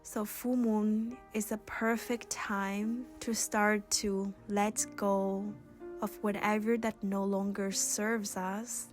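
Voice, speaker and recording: Slow, even-toned woman guiding yoga over music. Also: medium pitch. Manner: soothing, gentle, unhurried guiding with pauses. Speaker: yoga instructor. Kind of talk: guided yoga and meditation instruction.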